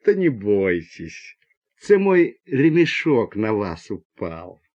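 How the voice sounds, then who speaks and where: Man as a low, gentle Ukrainian passenger. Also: big pitch swings, slow, lo-fi sound, exaggerated voice. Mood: gentle, soothing.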